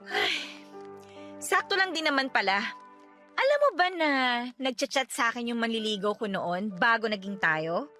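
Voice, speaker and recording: Hurt, clinging wife for radio drama; high, tense voice, pleading and emotional. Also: female voice, expressive, normal speed, long pauses, pushed and bright, soft background music. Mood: wounded, upset. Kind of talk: radio drama dialogue in Tagalog.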